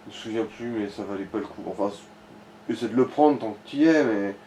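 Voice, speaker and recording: A man's voice: lowish voice, fairly quick, mellow, slight background noise, poor audio. A man chatting casually and colloquially as he guides someone through a video game, with some swearing. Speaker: co-commentator guiding the player. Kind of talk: casual gaming chat.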